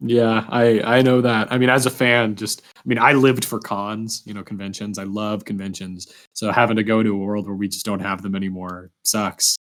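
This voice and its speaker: A man hosting a podcast interview in a casual, informal and agreeable way, with a halting delivery. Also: on the low side, level tone, fairly bright tone.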